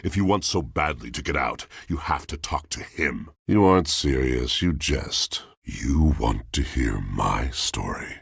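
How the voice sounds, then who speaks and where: An actor playing a brooding, poetic washing machine in a video game, with a deep gravelly voice and a grandiloquent, melodramatic delivery. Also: male voice, no echo, clean studio sound, exaggerated voice. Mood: disdainful, then grave, courtly. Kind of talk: video game character acting.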